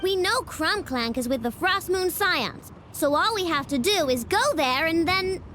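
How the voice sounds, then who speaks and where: Squeaky little game fairy sidekick, super high, childlike n chirpy, bouncy pitch. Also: female voice, lively intonation, measured pace, noisy background. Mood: chirpy, puzzled. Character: small floating fairy companion, chirpy and childlike. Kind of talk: video game cutscene acting.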